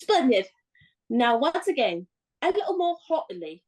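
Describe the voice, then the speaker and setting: A woman's voice: high-pitched, very animated, normal speed, a little mellow. An actress playing a spirited, playful, bossy young woman in a radio play, with a theatrical, instructing manner. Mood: playfully instructing.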